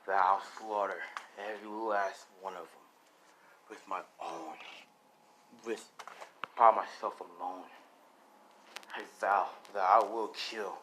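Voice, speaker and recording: Give a young demon hunter from a homemade anime story, played by a male storyteller. He is bitter and vengeful, with a low, slow, hushed delivery that sounds grim. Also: slight background noise, poor audio.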